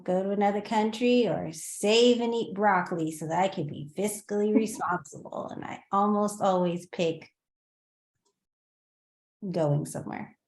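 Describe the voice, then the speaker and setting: A woman sharing her experience with an online group, speaking in a slow, thoughtful way over a video call. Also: mid-range voice, a little mellow. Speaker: workshop participant. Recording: echoey room.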